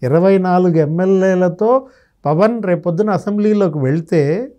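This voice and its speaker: High-pitched, mellow middle-aged man. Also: moderate pace. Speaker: senior journalist, guest analyst. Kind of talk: conversational political commentary. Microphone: lapel mic.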